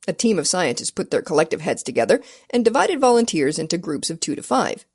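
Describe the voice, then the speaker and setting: A woman's voice: lowish voice, big pitch swings, fairly quick, darkish tone, breathy edge. A woman reading a scripted science report as a narrator.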